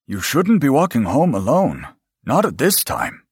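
A man's voice: medium pitch, huge pitch swings, a little slow, husky, dry recording, clean studio sound, voice pushed up, exaggerated voice. A man voicing an old male hot water seller who is kindly and neighborly in an audiobook. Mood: concerned. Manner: concerned, neighborly. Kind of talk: audiobook character voice.

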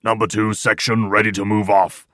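A man's voice: low voice, a little fast, sharp tone, no echo, clean studio sound. Man putting on a snarling, grizzled old army sergeant. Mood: curt, weary. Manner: barking, snarling, coarse sergeant-like orders. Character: grizzled, weary, short-tempered old section leader.

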